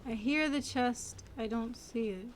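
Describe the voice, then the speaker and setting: A woman in her twenties with a youthful, soft-spoken, reserved way of talking, giving casual commentary as she plays a game. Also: a little high, unhurried, a little hiss or hum. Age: 20s. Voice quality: youthful-sounding. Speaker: gaming video creator. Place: voice recorded over video game audio. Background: game music.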